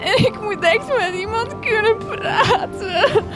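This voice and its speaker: A woman playing a frightened, vulnerable schoolgirl in a radio drama, whiny and halting. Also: very high-pitched, animated, full character voice. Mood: tearful, hurt. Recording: music behind the voice.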